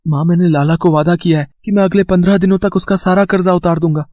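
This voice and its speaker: A man's voice: high voice, fairly even intonation, fast talker, darkish tone, no room sound, close to an everyday voice. A man playing an earnest, defiant, devoted village son in a moral story. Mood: determined. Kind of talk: dramatised Hindi moral story. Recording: dull lo-fi, very dry.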